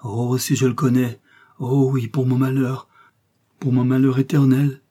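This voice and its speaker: A man's voice: mid-range voice, normal speed, warm tone, husky, close to an everyday voice. A man voicing a young, scheming woman prisoner who feigns pious, desperate anguish in a theatrical, pleading manner for a storybook. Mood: anguished fervour.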